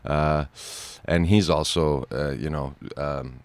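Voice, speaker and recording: A man who acts, a guest on a talk show telling animated stories about his work in casual chat. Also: very deep voice, no echo. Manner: animated storyteller, quick asides. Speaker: actor, interview guest.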